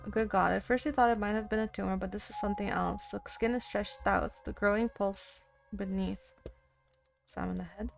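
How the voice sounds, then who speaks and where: A woman's voice: medium pitch, fairly even intonation, moderate pace, vocal fry. A woman gamer reads a visual novel aloud in a mid-range voice. She narrates expressively and reacts as she goes. Game music plays behind her. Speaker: gaming YouTuber reading a visual novel aloud. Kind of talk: let's play with dramatic reading and reactions.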